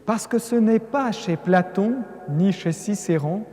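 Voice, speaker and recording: A man's voice: high voice, a little slow, mellow. Earnest non-native man in a cathedral. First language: not French. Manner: earnest, emphatic build-ups with hesitant restarts. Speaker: lecturing cleric. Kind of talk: Lenten conference talk. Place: large cathedral. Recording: long cathedral reverb.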